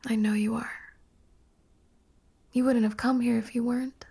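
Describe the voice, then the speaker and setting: A husky, creaky-voiced dream therapist. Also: female voice, on the high side, flat delivery, normal speed, mellow. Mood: reassuring. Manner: coaxing, guiding. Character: earnest, reassuring female dream therapist, visionary scientist. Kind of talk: psychological horror audio drama acting.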